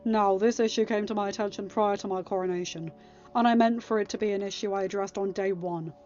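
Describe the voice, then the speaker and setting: A woman's voice: mid-range voice, steady tone, a little mellow, highs cut off, soft background music, close to an everyday voice. A woman voicing an earnest young king who speaks formally and diplomatically in a storybook reading. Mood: apologetic. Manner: formal, measured.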